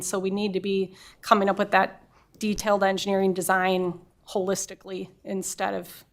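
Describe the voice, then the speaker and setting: A woman with an even, flat-toned voice who speaks at a city council meeting. She explains the steps of a project methodically to the councilmembers. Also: mid-range voice. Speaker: city staff member on development. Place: council chamber.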